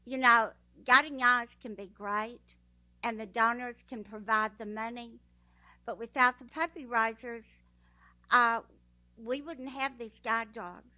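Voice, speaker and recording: Woman, texan accent, slow delivery, bright tone, graduate's thank-you speech. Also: on the high side, steady tone, poor audio. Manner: slow, heartfelt, with fillers. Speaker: guide dog graduate. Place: ceremony room.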